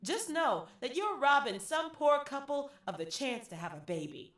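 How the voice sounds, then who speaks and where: An actress playing a wry, candid young theater graduate in a dramatic first-person audio play monologue addressed to the audience. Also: female voice, high voice, very animated, normal speed, very noisy. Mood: exasperated. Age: young adult. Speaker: actor narrating an audio play.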